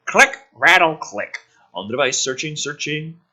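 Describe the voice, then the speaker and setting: A man's voice: fairly high pitch, expressive, normal speed, pushed and bright. A man dramatically reading a script aloud as host, with sarcastic, sweary asides thrown in.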